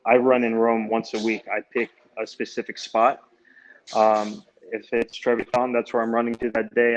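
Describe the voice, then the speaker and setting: Young male guest, 20s, Greek first language; flat, easygoing, halting delivery; muffled lo-fi call audio. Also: fairly low pitch. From United States. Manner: easygoing, hesitant with many restarts. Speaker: guest, amateur runner and goalkeeper. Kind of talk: casual interview answers.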